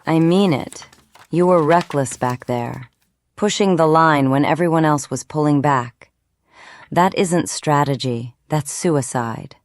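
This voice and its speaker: A woman's voice: deep voice, measured pace, no room sound. A woman playing a bold, teasing, defiant rogue in an audio drama, with a wry and challenging manner.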